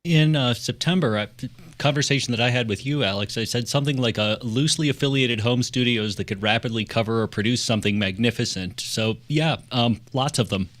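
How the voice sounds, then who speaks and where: A man answering as a panelist on an online call, conversational and measured. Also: mid-range voice, fairly bright tone, dry recording. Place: online video call, close dry sound.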